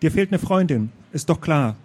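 A man reading aloud, voicing a pushy, eager know-it-all male friend with an excited, bossy manner. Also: a little high, moderate pace.

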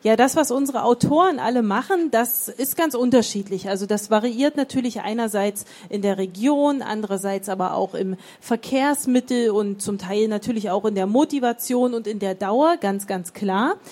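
A woman's voice: on the high side, normal speed, few pauses, a little mellow. A woman presenting a publisher's program and introducing an author, upbeat and promotional. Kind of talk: event moderation. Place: fair hall stage.

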